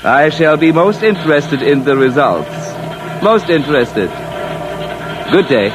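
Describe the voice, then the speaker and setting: An actor playing a haughty alien government official in a radio drama, speaking formally and pompously with sly courtesy. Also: male voice, on the high side, lively intonation, unhurried. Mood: formal, intrigued. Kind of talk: 1950s radio drama acting. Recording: noisy old-time radio recording.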